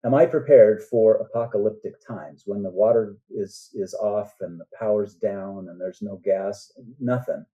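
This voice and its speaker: A man's voice: lowish voice, moderate pace, hardly any pauses, muffled recording. An older man chatting casually as a guest author, rambling a little and often checking in with "right?". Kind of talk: casual interview chat.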